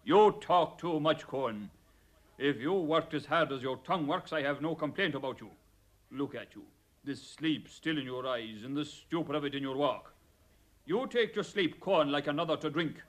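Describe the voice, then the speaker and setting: A man's voice: on the high side, normal speed, close to an everyday voice. A man, playing a stern, nagging boss in a vintage radio drama. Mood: scolding. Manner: scolding, clipped and authoritative.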